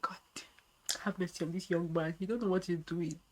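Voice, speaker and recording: A young Nigerian woman with a Nigerian accent, chatting with strangers on a video app and reacting on her phone, loud and laughing. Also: lowish voice, fairly even intonation, a little fast, noisy background. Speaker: vlogger. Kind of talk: casual video chat commentary.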